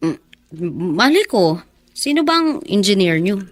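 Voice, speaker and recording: An actress playing a dutiful young bar hostess and daughter in a Filipino radio drama, speaking gently and naturally. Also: female voice, mid-range voice, sing-song, measured pace. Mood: guarded. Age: young adult.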